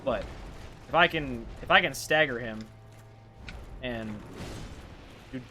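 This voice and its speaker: A man's voice: mid-range voice, takes it slowly, pushed and bright, soft background music. A man with a Canadian accent giving casual live gameplay commentary, rambling and slangy as he thinks aloud. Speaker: game streamer.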